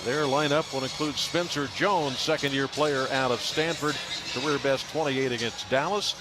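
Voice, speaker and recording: A man's voice: medium pitch, steady tone, normal speed, very noisy. A man with an American accent doing live pregame commentary as a radio play-by-play announcer.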